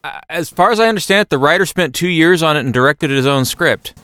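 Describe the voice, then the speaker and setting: A man on a podcast panel giving a casual film review in a halting, rambling way. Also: on the high side, lively intonation, bright, forceful tone, dry recording, studio quality.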